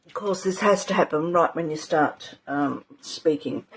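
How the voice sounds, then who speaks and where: A woman teaching a live art journaling session in a casual, rambling way, with many ums and a slightly croaky voice. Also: low-pitched, a little slow, a little hiss or hum, low-quality recording. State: croaky, clearing her throat. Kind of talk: casual live craft demonstration.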